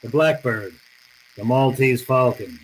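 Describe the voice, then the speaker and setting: A man's voice: mid-range voice, a little slow, a little hiss or hum, lightly acted, low-quality recording. A man playing a tough, wry private eye in a radio noir drama, terse and slangy, both narrating and in dialogue. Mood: hard-boiled narration. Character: wry, tough, hard-boiled male private detective.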